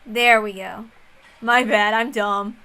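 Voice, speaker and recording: A woman reading a visual novel aloud as narrator, expressive and informal in style. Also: medium pitch, slow pace, clear, resonant tone, poor audio.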